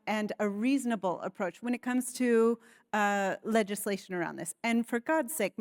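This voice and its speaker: An American woman on a conference panel speaking in a measured, articulate way, politely challenging a point. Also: mid-range voice, no room sound, clean studio sound. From United States. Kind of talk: panel discussion.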